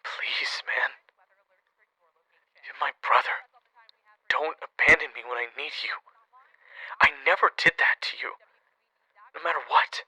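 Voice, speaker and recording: A man playing a defensive, pleading criminal brother in an audio drama, husky and earnest, through a phone-call filter. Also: a little high, a little slow, long pauses, bright, forceful tone, no echo, full character voice. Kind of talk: audio roleplay acting.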